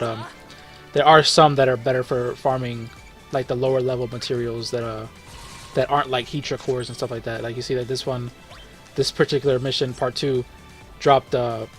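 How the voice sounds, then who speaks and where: A man giving casual, rambling commentary over a mobile game run, full of fillers and self-corrections as he walks viewers through it. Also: mid-range voice. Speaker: gaming video host.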